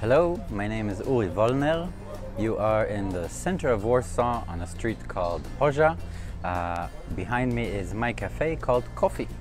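Low-voiced man telling stories outdoors. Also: moderate pace, music in the background. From Israel. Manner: fluent, relaxed storytelling with frequent uh fillers. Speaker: cafe owner. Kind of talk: casual interview to camera. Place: city street outdoors.

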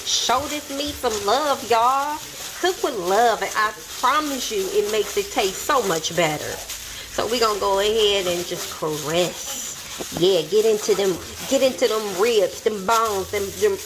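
A woman's voice: medium pitch, measured pace, background noise. A woman chatting casually through a home cook-along in her kitchen, playful and talkative. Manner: chatty, playful, says y'all a lot. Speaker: cooking vlogger.

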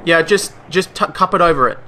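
A man runs a prank phone call in quick, breezy patter that sounds mock-official. His voice is fairly high and bright, and he talks fast. A faint hiss sits under the line. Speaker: prank caller.

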